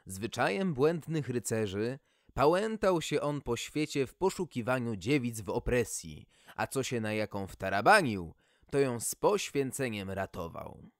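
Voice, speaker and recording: A man's voice: mid-range voice, very animated, unhurried, no room sound, clean studio sound. A man reading a humorous fairy tale as podcast host, in a theatrical, folksy storytelling manner.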